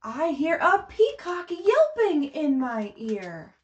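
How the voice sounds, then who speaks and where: A woman's voice: sits high, sing-song, slow pace, lo-fi sound. A woman leading a storytime for babies as a children's librarian, reading in a sing-song way and speaking simply and encouragingly to little ones and their parents. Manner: encouraging, exclaiming, simple child-directed speech. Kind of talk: sing-song picture book read-aloud and coaching for babies and parents.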